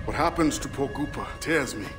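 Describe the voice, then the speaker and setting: A man's voice: mid-range voice, expressive, fairly quick, lightly acted. A man playing a proud, ambitious, powerful father in a video game, with lofty, declamatory speech. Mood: proud, solemn. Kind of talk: dramatic video game acting. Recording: music behind the voice.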